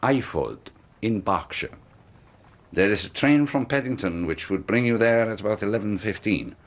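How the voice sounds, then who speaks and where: An actor playing a sinister, secretive middle-aged colonel, talking smoothly and insistently in a radio drama. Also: male voice, on the low side, expressive, normal speed, natural voice. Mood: persuasive. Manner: smooth, insistent, quietly threatening. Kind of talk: 1980s radio drama acting.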